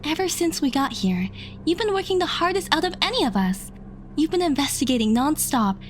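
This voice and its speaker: A woman playing an earnest, hopeful, caring young woman in an audio drama, sincere and gently insistent. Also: sits high, big pitch swings, brisk, slight background noise.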